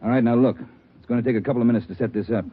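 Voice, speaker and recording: An actor playing a brisk, commanding police captain in a 1940s radio drama, urgent and no-nonsense. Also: male voice, medium pitch, fairly even intonation, breathy edge, natural voice. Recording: thin, tinny old radio recording.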